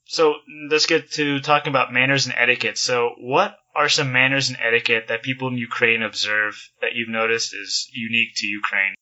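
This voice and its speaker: A man's voice: medium pitch, steady tone, moderate pace, few pauses, sharp tone, dry recording, dull audio. A man hosting a podcast, reading an ad and introducing his guest in an upbeat, scripted way. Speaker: podcast host.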